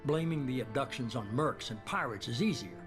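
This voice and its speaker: An actor playing a calculating, self-assured leader of a secretive organization, speaking in a measured, persuasive way in a video game. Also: male voice, mid-range voice, animated, lightly acted. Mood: assured, commanding. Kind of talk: video game cutscene acting. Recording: music behind the voice.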